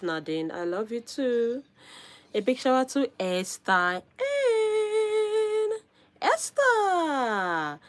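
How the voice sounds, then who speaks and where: Slow, lively woman with a hoarse cold voice. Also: a little high. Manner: upbeat, calls out viewer names with frequent laughs. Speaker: live stream host. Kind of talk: casual live stream chat.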